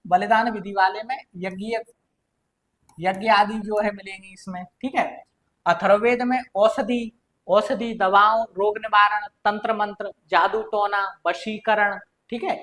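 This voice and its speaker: Female Indian-accented teacher, mid-pitched, didactic and repetitive, walking students through quiz questions and supplying each answer. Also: steady tone, normal speed, fairly bright tone. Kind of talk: exam-prep lesson going through quiz questions.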